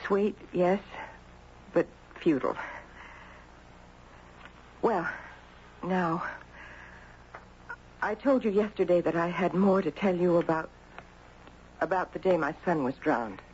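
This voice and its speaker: An actress playing a guilt-ridden, grieving middle-aged mother in halting, confessional 1970s radio drama style. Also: fairly low pitch, darkish tone. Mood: sorrowful. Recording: muffled, noisy 1970s radio recording.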